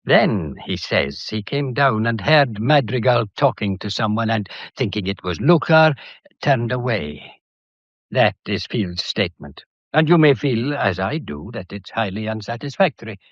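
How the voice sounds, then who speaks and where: An actor playing a methodical, courteous but probing police inspector in a detective drama, with a deliberate, pedantic and wryly theatrical way of talking. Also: male voice, on the low side, lively intonation, no echo, muffled recording. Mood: probing, satisfied. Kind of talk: detective radio drama acting.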